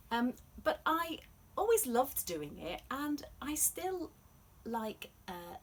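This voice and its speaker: A woman telling stories to children, explaining things gently in an unhurried, expressive read-aloud way. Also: mid-range voice, a little hiss or hum, poor audio. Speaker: storyteller.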